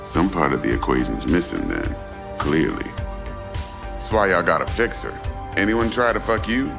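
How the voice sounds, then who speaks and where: Deep gravelly crime boss. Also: male voice, lively intonation, moderate pace, music in the background. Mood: firm, commanding. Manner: smooth, commanding, folksy slang. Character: big, slick, street-smart male crime fixer boss. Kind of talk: dramatic video game acting.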